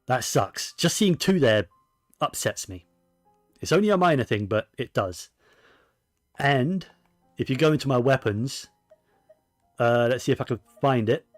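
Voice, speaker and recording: A man's voice: mid-range voice, lively intonation, a little slow, long pauses, no room sound, clean studio sound, soft background music. A man giving casual, rambling gaming commentary, often correcting himself as he goes. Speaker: game streamer.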